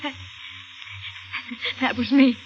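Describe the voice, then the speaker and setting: A woman's voice: high-pitched, fairly even intonation, slow. An actress playing a frightened, shaky young woman in a 1950s radio mystery, stammering and repeating herself. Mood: anxious, shaken. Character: frightened, shaky young woman insisting she saw a corpse. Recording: noisy, muffled old radio recording.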